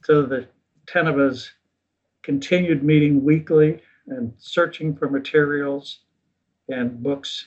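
Husky older man telling his story. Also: medium pitch. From United States. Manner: relaxed, self-deprecating, chuckles at himself. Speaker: guest. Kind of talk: casual interview storytelling. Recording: dull lo-fi recording.

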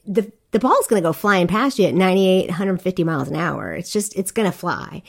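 A woman's voice: on the low side, expressive, brisk, gravelly, slightly rough. A middle-aged woman from Korea hosting a solo podcast, talking candidly and conversationally with fillers and restarts. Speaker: podcast host.

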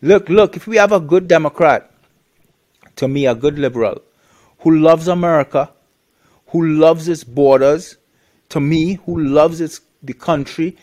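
A man's voice: a little high, measured pace, long pauses, a little mellow. A man from Trinidad, a guest on the show, talks bluntly in a casual interview, with many restarts and fillers.

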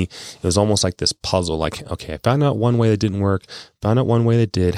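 A man's voice: low voice, no echo, clean studio sound. A man talking in a chatty, informal, rambling way, as an investment adviser hosting his own casual podcast. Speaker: investment adviser and show host. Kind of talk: casual monologue on a financial podcast.

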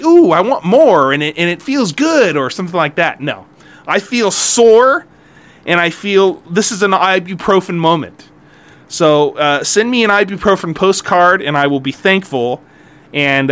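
A man's voice: a little high, a bit bright, clear, resonant tone. A middle-aged man hosting a golf podcast alone, chatting casually in a rambling, jokey, self-deprecating way. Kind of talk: casual solo podcast chat.

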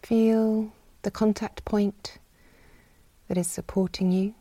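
A woman guiding a body scan meditation in a soft, breathy, soothing way, leaving long pauses between short phrases. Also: mid-range voice, little pitch movement, no room sound. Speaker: meditation guide.

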